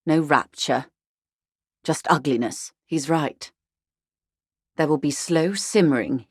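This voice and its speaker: A composed corporate negotiator from a video game. Her voice sits low, recorded dry with no room around it. She speaks in measured, literate sentences, grave and weary, with a sardonic edge. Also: no room sound. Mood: grim, resigned. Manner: articulate, formal, dryly ironic, grave.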